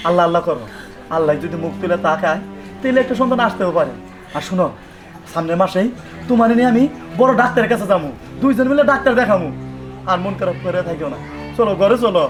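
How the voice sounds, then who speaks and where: A man's voice: sits high, close to an everyday voice. A man playing a patient, earnest village husband in a drama, consoling. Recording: music behind the voice.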